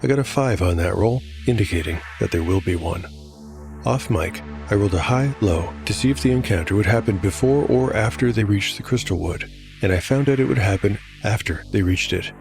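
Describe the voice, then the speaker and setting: Low, gravelly male narrator over music. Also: a little fast. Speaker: game master and narrator of a solo-play fantasy show. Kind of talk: scripted narration.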